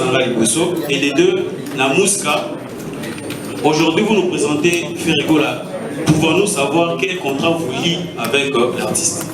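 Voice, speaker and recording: Journalist in an echoey hall. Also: male voice, fairly high pitch. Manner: mixes French and Lingala, rambling. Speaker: journalist asking a question. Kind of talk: press conference question. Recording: echoey hall, far from mic, crowd.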